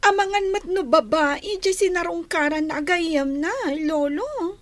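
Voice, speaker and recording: A woman's voice: very high-pitched, a little slow, no echo. A woman playing a possessive, lovestruck young girl in an audio drama, whiny and insistent in her pleading. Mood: tearful, pleading. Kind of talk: melodramatic audio drama acting.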